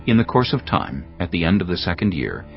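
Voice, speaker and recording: A man narrating scripture in a measured, solemn, scripted reading for an audio Bible. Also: sits low, very animated, vocal fry. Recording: dull lo-fi audio with faint music.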